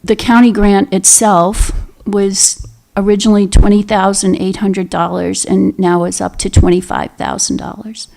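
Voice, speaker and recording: A soft-spoken woman presenting on behalf of a senior center at a town meeting, speaking into a table microphone with frequent fillers. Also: medium pitch, little pitch movement, moderate pace, gravelly. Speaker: senior center representative giving public comment.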